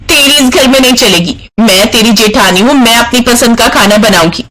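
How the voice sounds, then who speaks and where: A man voicing a young, quarrelsome, sharp-tongued daughter-in-law with a dramatic manner in an animated moral story. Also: very high-pitched, normal speed. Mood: defiant, bickering. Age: young adult. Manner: bickering, dramatic.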